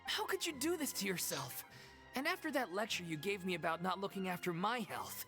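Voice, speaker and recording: A woman voicing a candid, caring young troupe member in a video game, expressive and informal. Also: mid-range voice, a little fast, soft background music. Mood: reproachful. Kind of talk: video game character acting.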